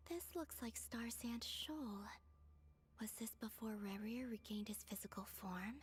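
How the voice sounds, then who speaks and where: A woman playing a gentle, pensive young moon maiden in a video game, with a soft, breathy, musing delivery. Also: fairly high pitch, a little slow, a little hiss or hum, close to an everyday voice. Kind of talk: video game voice acting, inner monologue.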